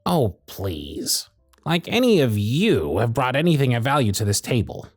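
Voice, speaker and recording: Man acting as an animated, sardonic workshop supervisor. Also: medium pitch, unhurried, husky, dry recording, studio quality, soft background music, exaggerated voice. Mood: indignant, scornful. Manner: theatrical, exasperated, sarcastic phrasing. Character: proud, sardonic male workshop supervisor, protective of his intern. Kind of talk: scripted ASMR roleplay acting. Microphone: close studio mic, dry.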